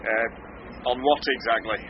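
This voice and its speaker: An actor playing a deferential, hesitant police officer in a British radio mystery. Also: male voice, medium pitch, steady tone, slow pace, lightly acted. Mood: earnest, hesitant. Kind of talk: British radio drama acting, police dialogue. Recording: muffled, noisy radio drama recording.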